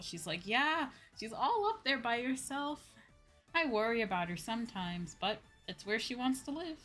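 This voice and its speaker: An American woman chatting casually to viewers as a livestream host, animated and repeating phrases for emphasis. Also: lowish voice. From United States.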